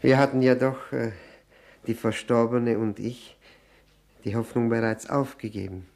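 An actor playing a nervous, self-abasing widower who drinks, stammering and repeating himself in an old radio play. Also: male voice, mid-range voice, level tone, unhurried, gravelly, a little mellow. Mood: nervous, rambling. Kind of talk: 1960 German radio play acting. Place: radio drama studio.

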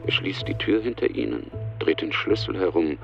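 An actor as a terse, plain scene-setting narrator in a German radio play. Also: male voice, on the low side, moderate pace, vocal fry, lo-fi sound, music in the background. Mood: neutral. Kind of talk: present-tense scripted narration.

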